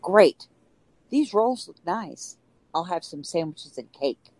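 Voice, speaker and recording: A woman playing a carefree, reckless joyrider in an audio drama, with a lively conversational delivery. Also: lowish voice, slow pace, exaggerated voice. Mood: eager. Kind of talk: amateur audio drama dialogue.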